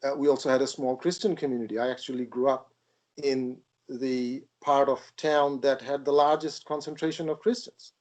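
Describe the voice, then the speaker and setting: A man in his sixties from Bangladesh, speaking as an interview guest in a reflective, measured way with frequent pauses. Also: mid-range voice, steady tone, a little mellow. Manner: measured, thoughtful, pausing often. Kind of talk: reflective spiritual conversation.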